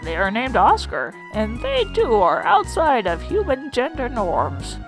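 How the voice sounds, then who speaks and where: Lady doing old monster teacher, folksy n wry, lively pitch, explains stuff, music behind. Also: measured pace. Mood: wry, explanatory. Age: older. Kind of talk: character voice in fan comic dub.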